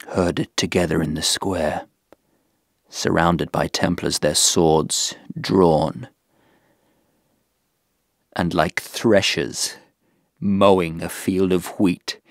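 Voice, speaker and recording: An actor playing a haunted, guilt-stricken crusader, recounting in a halting, anguished way in a historical audio drama. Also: male voice, deep voice, steady tone, vocal fry, no echo, clean studio sound, exaggerated voice. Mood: hushed horror. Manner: halting, repeating words, anguished.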